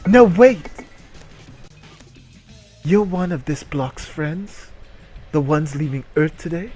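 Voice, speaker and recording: Picture a flustered, apologetic living block in a comic story, acted out by a man. He has a Russian accent and speaks well above an ordinary male pitch, over background music. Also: animated, slow, a little mellow. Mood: startled.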